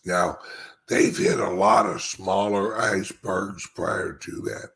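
Male crypto commentator, raspy and gravelly, very slow and emphatic delivery, informal solo address. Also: fairly low pitch, fairly bright tone. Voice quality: speech impediment. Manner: emphatic, repeats phrases with dramatic pauses. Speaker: cryptocurrency commentator and show host. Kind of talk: informal monologue commentary to followers.

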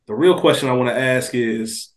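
Mid-pitched man in casual podcast discussion. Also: normal speed. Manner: colloquial, thinking aloud in looping phrases. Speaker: podcast co-host.

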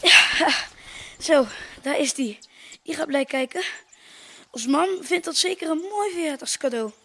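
Lady doing a high-pitched village kid, boy, cheeky and excitable, radio play. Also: a little slow, slight background noise, exaggerated voice. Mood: excited, cheeky. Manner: eager, cheeky, youthful. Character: cheeky, excitable farm boy out with his dad. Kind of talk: audio drama re-enactment.

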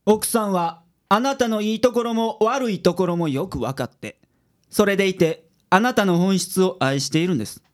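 A man playing a brisk, self-assured private detective in a radio drama, speaking in a businesslike, declarative way. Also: high voice, lightly acted. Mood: firm, admonishing.

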